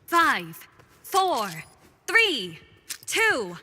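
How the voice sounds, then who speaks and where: Bright high game announcer lady, slow clipped callouts over game music. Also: huge pitch swings, full character voice. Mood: announcing. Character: female game announcer calling match events. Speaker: match announcer voice. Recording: game audio with loud music.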